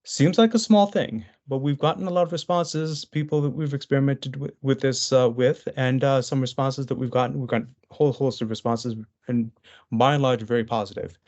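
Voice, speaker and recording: A man's voice: medium pitch, fairly even intonation, brisk, a little mellow. A man presenting a business webinar over a video call. He talks conversationally through his slides, with frequent fillers and restarts.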